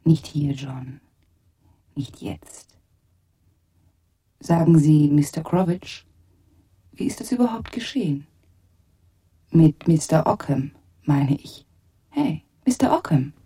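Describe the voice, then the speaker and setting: Breathy, slow, plaintive puppet girl. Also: deep voice, animated, heavily acted. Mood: soft, eerie. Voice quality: breathy, hushed. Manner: slow, pleading, wistful. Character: delicate, plaintive, uncanny female ventriloquist's puppet. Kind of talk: German radio drama acting.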